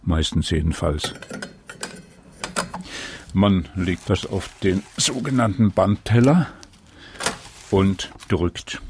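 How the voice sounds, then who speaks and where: Low-voiced man narrating a radio documentary. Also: lively intonation, takes it slowly, pauses often, background noise. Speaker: radio feature author and narrator.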